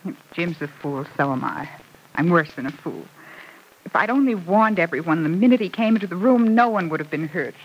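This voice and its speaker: An actress playing a frightened, guilt-stricken wife in a 1940s radio soap opera, speaking in an earnest, dramatic way. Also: female voice, on the low side, animated, fairly quick, breathy edge, rough recording. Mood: bitter, earnest. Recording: old radio broadcast recording.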